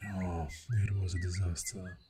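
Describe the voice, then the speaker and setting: A man playing a clingy, tender boyfriend in an ASMR roleplay, speaking softly and intimately, often slipping into a whisper. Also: deep voice. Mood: fond, nostalgic. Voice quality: soft, breathy, often whispering. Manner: slow, intimate, affectionate, lingering pauses. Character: clingy, tender, devoted boyfriend on a morning camping trip. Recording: steady outdoor nature sound noise. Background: birdsong and nature sounds.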